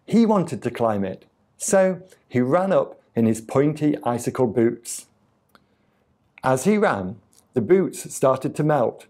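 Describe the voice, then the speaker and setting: Slow, mellow male children's storyteller. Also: medium pitch. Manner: deliberate, expressive reading with acted sound effects. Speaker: storyteller reading a picture book aloud to children. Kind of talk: storytime narration of a children's tale.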